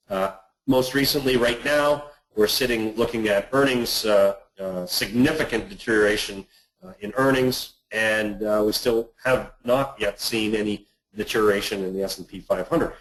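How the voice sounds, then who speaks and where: A man presenting on an investment webinar, speaking haltingly and often repeating words. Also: mid-range voice, poor audio. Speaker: investment manager hosting a client webinar. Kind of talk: presentation on a conference call.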